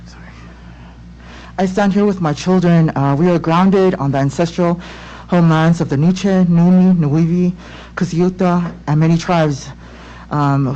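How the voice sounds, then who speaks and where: A woman's voice: sits low, mellow, highs cut off, a little hiss or hum. Earnest woman from Tonga on a video call. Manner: earnest, halting, many restarts and fillers. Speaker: parent giving public comment. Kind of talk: public comment at a school board meeting. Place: home room on a video call. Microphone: laptop or webcam mic on video call.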